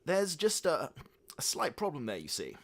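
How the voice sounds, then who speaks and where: A man's voice: on the high side, wildly swooping pitch, normal speed, a bit bright, pitched above the performer's own voice, exaggerated voice. A man voicing a weak, flustered middle-aged father who stammers and stumbles over his excuses. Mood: sheepish.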